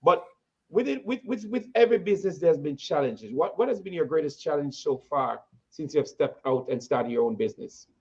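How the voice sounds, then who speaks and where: A man's voice: a little high, expressive, a little fast, a little mellow, breathy edge, muffled recording. A man with a Jamaican accent hosting a talk show, interviewing a guest, stumbling and repeating words, laughing easily.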